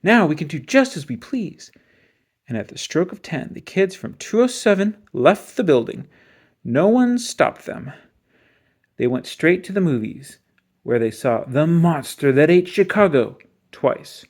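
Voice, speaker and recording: A storybook reader giving an expressive children's read-aloud narration. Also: medium pitch, unhurried.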